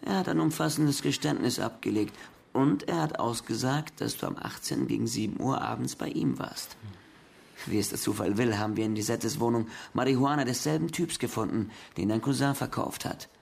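An actor playing a police detective in a German radio crime drama, questioning methodically with a dry, needling confidence. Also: male voice, medium pitch, brisk, creaky. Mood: pointed, sardonic. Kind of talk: German radio play acting, police interrogation.

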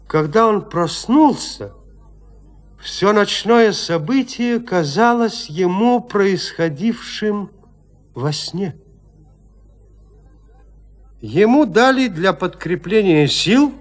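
A man narrating a classic horror tale in an audio play, with theatrical, expressive delivery. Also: sits high.

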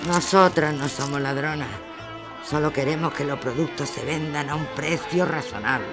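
Actriz como líder obrera andaluza, decidida, música detrás. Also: female voice, sits low, moderate pace, loud music behind the voice, close to an everyday voice. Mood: proud, firm. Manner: assertive, defiant. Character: bold, proud working-class woman protest leader. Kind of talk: audio drama acting.

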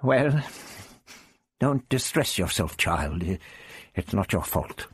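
A man's voice: mid-range voice, wildly swooping pitch, moderate pace, vocal fry, breathy edge, no echo, clean studio sound, exaggerated voice. A man voicing a kindly, fussy older doctor in a storybook reading, muttering and coaxing. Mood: comforting.

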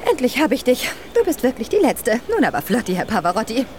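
An actress playing a brisk, bossy, scolding woman hurrying a child along in a German radio drama. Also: on the high side, big pitch swings, a little mellow. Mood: impatient.

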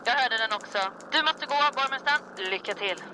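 A woman's voice: a little high, animated, close to an everyday voice, low-quality recording. A woman playing a dry, unflappable resistance pilot with an understated manner in a comic audio drama. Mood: composed, wry.

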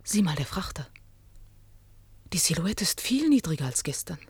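An actress playing a curious, outspoken woman adventurer in a German science fiction radio drama, with a direct manner and slightly husky voice. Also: on the low side, very animated, normal speed, no echo, exaggerated voice. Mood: uneasy, urgent. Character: curious, outspoken female adventurer with biology training.

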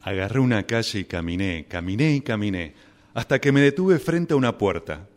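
An actor as an anguished Argentine man, wildly expressive. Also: on the low side, a little fast, lightly acted. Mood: rueful storytelling. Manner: melodramatic, stammering. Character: anguished, obsessive man deprived of his beloved mate. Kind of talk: radio drama acting with first-person narration.

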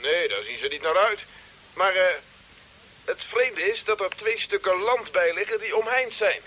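A man's voice: high-pitched, lively intonation, normal speed, bright, forceful tone. An actor playing a dutiful radio operator in a space drama, giving formal, precise reports to his captain. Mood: reporting, puzzled. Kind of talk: 1950s-style radio drama acting. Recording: hissy old radio play recording.

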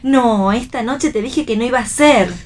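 An actress voices a young Argentine wife in a read stage play. Her voice sits on the high side, and she speaks in playful, teasing banter with her husband. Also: moderate pace, lightly acted. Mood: playful, excited.

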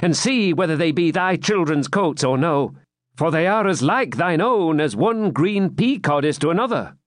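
A man voicing a loyal, wry medieval jester, raising his voice in a lively, animated way. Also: a little high, moderate pace, no room sound, studio quality, voice pushed up, close to an everyday voice.

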